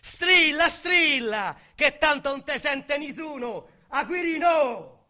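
A rough young Roman street criminal making a confession in a radio play. His voice is high and bright, with a sharp edge. He tells it vividly in thick slang, halting and agitated. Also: expressive, exaggerated voice. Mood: savage shouting. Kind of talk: dramatic radio monologue, colloquial storytelling.

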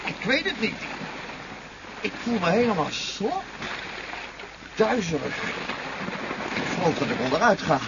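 High-voiced curt pilot, muffled radio play. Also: male voice, very animated, a little slow, gravelly, long pauses, full character voice. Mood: faint, alarmed. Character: male military pilot, curt and cool under pressure. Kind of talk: 1970s Dutch radio drama acting. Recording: muffled, noisy 1970s radio recording.

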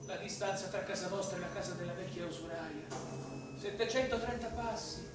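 An actor playing a wily investigating magistrate who needles with feigned innocence in a theatrical radio drama. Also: male voice, high-pitched, steady tone, a little fast, loud music behind the voice, natural voice. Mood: needling. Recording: very echoey, distant, noisy radio recording.

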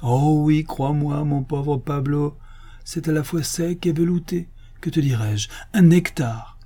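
Give me a man playing a sentimental, food-loving Spanish canon from a storybook, effusive, in a raised and husky voice. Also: a little high, warm tone, pitched above the performer's own voice. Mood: rapturous. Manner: effusive, drawn-out exclamations of delight.

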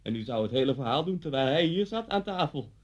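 A sly, taunting man who relishes menace in a radio drama. His voice sits fairly high and swoops theatrically from hushed to loud. The recording is dull and lo-fi. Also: animated, quick pace. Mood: mocking, menacing relish. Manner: teasing, theatrical, swinging between quiet and loud.

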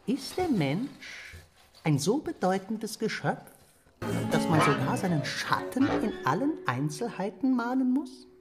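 An actress playing a proud, seductive, aggrieved Satan in a radio play, speaking in a theatrical, deliberate way. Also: female voice, medium pitch, sing-song. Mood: scornful. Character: Satan, proud, aggrieved, seductive storyteller addressing a coffeehouse crowd. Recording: music behind the voice.

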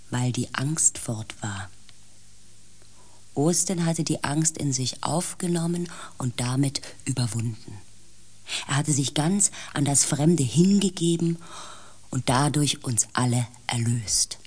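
A woman's voice: low voice, animated, slow, darkish tone, breathy edge, heavily acted. An actress playing a female space pilot, grave and intense, in a science fiction radio play. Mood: grave, resigned. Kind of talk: radio play acting, dramatic first-person narration.

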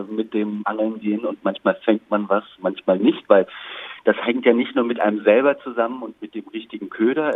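A man with a fairly low, slightly husky voice is being interviewed. He explains his creative work in a considered, articulate and reflective way. The sound is narrow and muffled, like a phone connection. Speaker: interviewed radio play author and composer.

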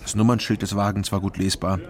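An actor playing a dry, matter-of-fact male East Berlin private detective in a radio crime drama, with a gravelly voice. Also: fairly low pitch, little pitch movement, fast talker, natural voice. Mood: terse, matter-of-fact. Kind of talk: radio crime drama, first-person narration and dialogue.

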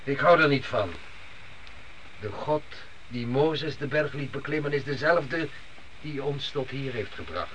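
A man's voice: mid-range voice, expressive, unhurried, a bit bright. An actor playing a stern, devout middle-aged father in a Dutch radio play, commanding and solemn. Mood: reproachful. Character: stern, devout father leading his family through the desert. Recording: noisy old radio drama recording.

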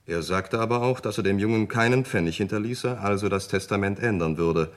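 A man acts out a discreet solicitor in a radio crime play. The voice is very deep. He is formal and obliging, setting out each detail precisely and matter-of-factly. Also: fairly quick, natural voice. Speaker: radio drama actor. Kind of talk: German radio crime drama acting.

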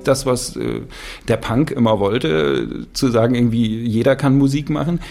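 A man who works as a radio producer, talking casually in a discussion with a husky voice. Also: mid-range voice, moderate pace, over music.

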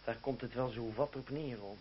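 A man's voice: mid-range voice, moderate pace, natural voice. A man acting in an old radio play as a bitter, defiant young man grieving his friend, with theatrical, emphatic delivery. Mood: controlled, reproachful. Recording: muffled, noisy old radio recording.

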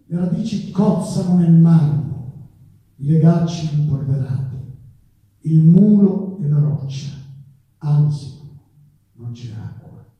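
A man's voice: a little high, takes it slowly. A man reciting poetry on stage in a solemn, declamatory, theatrical manner. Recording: distant, echoey, dull live stage sound.

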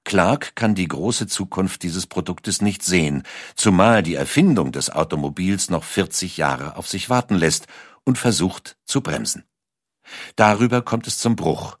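Male narrator, very low pitch, lively intonation, scripted documentary storytelling. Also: a little slow, dry recording, studio quality. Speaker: audiobook narrator.

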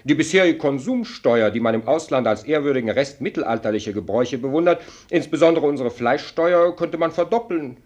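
An actor playing a dry, blunt minister of state in a German radio play, speaking formally and pointedly with an ironic, lecturing air. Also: male voice, on the high side, animated, brisk, few pauses, a little mellow. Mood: breezy irony.

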